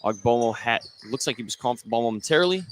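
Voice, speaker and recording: An American man works as a play-by-play announcer on live basketball radio, with a mid-pitched voice. He follows the action in short running phrases, over faint gym noise and ball bounces. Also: animated, very fast. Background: basketball game in a gym.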